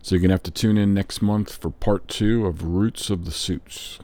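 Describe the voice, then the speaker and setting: A man's voice: low voice, steady tone, moderate pace, warm tone. A man hosting an old-time music show, speaking casually as he addresses the listeners. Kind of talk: casual radio show sign-off. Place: record room.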